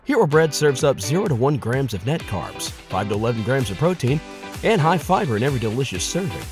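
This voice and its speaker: A man's voice: mid-range voice, sing-song, brisk. A man reading a scripted commercial as an announcer, in a polished, promotional manner. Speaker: advertisement announcer. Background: music bed.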